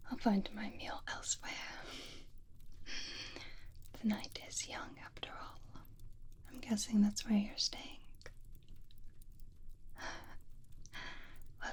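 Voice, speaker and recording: A woman playing a flirtatious, sardonic female vampire in a breathy, teasing ASMR roleplay. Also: fairly high pitch. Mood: flirtatious, coy. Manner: breathy, teasing, languid delivery with sighs.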